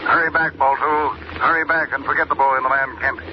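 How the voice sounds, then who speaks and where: An actor playing a scheming, curt, commanding villain boss in 1940s radio acting style. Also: male voice, medium pitch, fairly even intonation, brisk, lightly acted. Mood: sinister, urgent. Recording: muffled noisy old radio recording.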